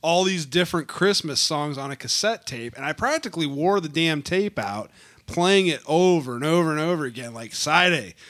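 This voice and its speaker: A man's voice: fairly high pitch, animated, a bit bright. A man co-hosting a podcast, chatting casually and reminiscing with enthusiasm. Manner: enthusiastic, chiming in with quick agreement. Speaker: podcast co-host. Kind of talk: casual chat.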